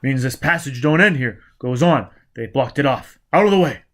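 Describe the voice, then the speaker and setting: A man voicing a gruff, bossy male escaped convict in an audiobook, with terse, clipped, forceful speech. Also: medium pitch, expressive, normal speed, lightly acted. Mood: gruff, urgent.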